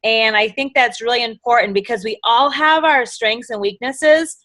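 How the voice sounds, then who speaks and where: Woman, Boston accent, middle-aged, enthusiastic, rambling, bright voice, dry sound. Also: fairly high pitch, moderate pace, no echo. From Massachusetts. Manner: enthusiastic, rambling, quotes others in lively voices. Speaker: guest speaker. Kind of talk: motivational sales talk.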